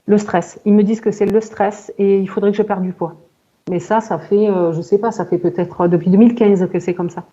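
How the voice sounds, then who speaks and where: A woman in her forties giving a halting personal account in conversation, searching for words and often repeating herself. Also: medium pitch, fairly even intonation, warm tone, highs cut off. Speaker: client in a hypnosis session interview.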